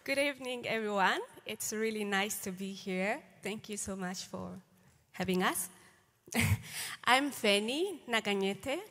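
A woman from Namibia, a student on a panel, introducing herself in a warm, slightly shy way. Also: medium pitch, measured pace. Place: large lecture hall stage. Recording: reverberant large hall.

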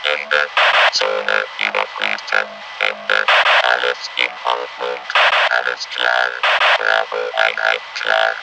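Very deep robotic combat soldier. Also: male voice, fairly even intonation, slow pace, gravelly, heavily acted, poor audio. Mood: clipped, mechanical. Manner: clipped, emotionless radio-style military reporting. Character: cold, menacing military combat robot soldier. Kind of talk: video game voice lines. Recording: loud electronic music behind the voice. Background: loud synthesizer music.

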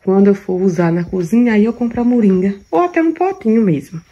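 Chatty country woman vlogging from her kitchen, warm little words everywhere, talks like she's with friends. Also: mid-range voice, lively intonation, fast talker, slightly rough, muffled recording. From Brazil. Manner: chatty, affectionate, lots of diminutives. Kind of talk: casual cooking and shopping vlog.

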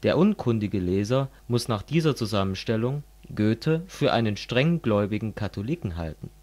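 A middle-aged man from East Germany in a scripted political dialogue, explaining things in a measured way. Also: fairly low pitch, lively intonation, darkish tone. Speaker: dialogue partner in a political audio piece.

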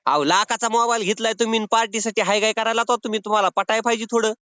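A man's voice: sits high, fairly even intonation, rapid-fire, sharp tone, clean tone, no echo. A man making a prank phone call, bantering in an insistent, wheedling, mock-pleading way. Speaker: prank caller. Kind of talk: unscripted-sounding phone banter. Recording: dull lo-fi phone call recording.

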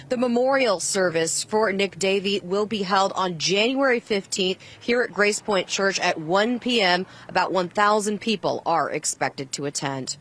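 A woman's voice: medium pitch, fairly bright tone. A young woman giving a live news report outdoors into a handheld microphone. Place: outdoors on a street at night.

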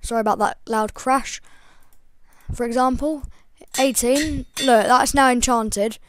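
A young woman with a slightly croaky voice, hosting a casual game tutorial voice-over in a chatty, rambling way with lots of ums. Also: a little high, steady tone, measured pace, a bit bright, slight background noise. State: croaky, voice breaking. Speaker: gaming channel host.

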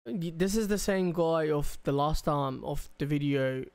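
Young man, higher-than-average pitch, smooth voice, casual slangy reaction commentary, swears freely. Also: a little slow, a little mellow. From Australia. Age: young adult. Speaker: reaction video host. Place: small bedroom, indoors. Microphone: close desk microphone.

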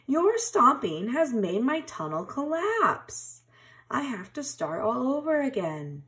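A woman voicing a small, excitable male mole in a children's storybook, emphatic but quick to forgive. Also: sits high, voice pushed up, heavily acted. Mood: angry.

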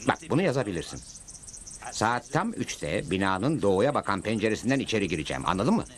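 A man voicing a calm, resolute cowboy lawman in a Turkish-dubbed cartoon, speaking tersely with authority. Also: fairly low pitch, fast. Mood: firm.

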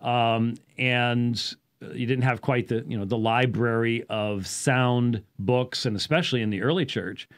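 A man gives an off-the-cuff explanatory talk as a show host, halting at times and leaning on key words. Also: medium pitch, steady tone. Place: small indoor study with bookshelves. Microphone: studio microphone on boom arm, close.

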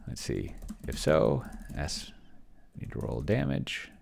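Very deep, gravelly man. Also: wildly swooping pitch, slow, a little hiss or hum. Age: middle-aged. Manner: rambling, thinking aloud, muttering as he types. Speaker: host of a solo tabletop game video. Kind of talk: casual livestream commentary.

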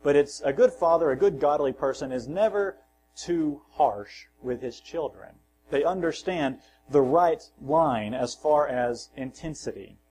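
A man preaching a sermon in a church, measured and plain, swelling on scripture and dropping softly at the ends of phrases, with self-deprecating asides. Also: on the high side, a little hiss or hum, poor audio. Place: church sanctuary.

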